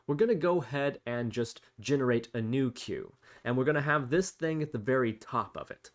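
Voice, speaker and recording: A man hosting an explanatory programming tutorial, talking through each step as he types. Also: medium pitch. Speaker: tutorial host. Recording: faint noise, keyboard typing clicks. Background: computer keyboard typing and clicks.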